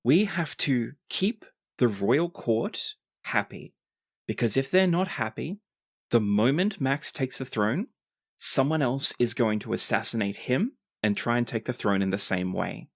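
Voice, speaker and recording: Game master acting out composed noblewoman, earnest, dry delivery, tabletop roleplay, measured briefing. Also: medium pitch, lively intonation, no echo. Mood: businesslike.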